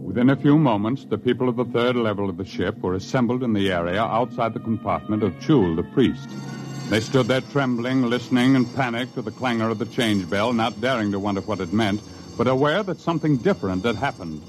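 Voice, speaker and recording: A man's voice: mid-range voice, a little fast, natural voice. A man narrating a science-fiction radio drama as a grave, dramatic storyteller in scripted style. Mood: grave, suspenseful. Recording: muffled vintage radio recording. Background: loud dramatic music.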